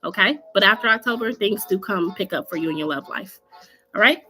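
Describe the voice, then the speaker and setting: A woman's voice: mid-range voice, lively intonation, fairly quick, sharp tone, soft background music. Scratchy-voiced woman doing a chatty tarot stream. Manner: chatty, checks in with "okay?" and laughs often. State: scratchy voice from allergies. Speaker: live tarot reader. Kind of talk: casual livestream reading.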